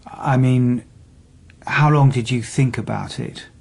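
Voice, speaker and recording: A weary, calm detective in a radio drama. He speaks in a medium, somewhat husky voice, dry and understated, gently probing. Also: medium pitch, takes it slowly, exaggerated voice. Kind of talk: naturalistic radio drama acting.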